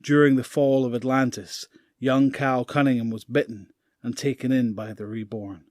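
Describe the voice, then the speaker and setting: A man's voice: mid-range voice, steady tone, a little mellow, no echo. Dry, grave male narrator. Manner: measured, grave storytelling. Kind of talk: scripted narration.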